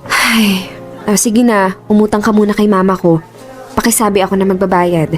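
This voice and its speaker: A woman's voice: medium pitch, steady tone, moderate pace, natural voice. An actress playing a composed but caring young female office boss in a Filipino radio drama, speaking earnestly with some English mixed in. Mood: concerned. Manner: earnest, conversational, mixes English into speech. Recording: music under the voice. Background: background music.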